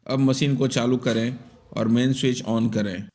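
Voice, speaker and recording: A man's voice: mid-range voice, steady tone, a little slow, a little mellow. A man giving step-by-step instructional narration for an electronics repair tutorial in a methodical, explanatory manner.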